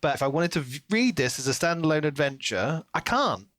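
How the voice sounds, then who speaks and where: A man's voice: on the high side, big pitch swings, a little fast, a bit bright, no room sound, studio quality. A man co-hosting a podcast, talking in a casual, analytical and discursive way, peppered with "like" and "you know". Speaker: podcast co-host discussing books.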